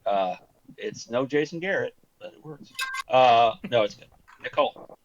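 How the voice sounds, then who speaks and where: A man hosting a live-streamed matching game, joking and quick to laugh. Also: medium pitch, lively intonation, muffled recording, slight background noise. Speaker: game show host.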